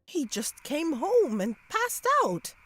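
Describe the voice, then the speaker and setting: A woman's voice: high voice, sing-song, slow pace, soft background music, heavily acted. A woman playing a worried, caring aunt who talks in an earnest, fretful way in a fan-dubbed motion comic. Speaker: Aunt May.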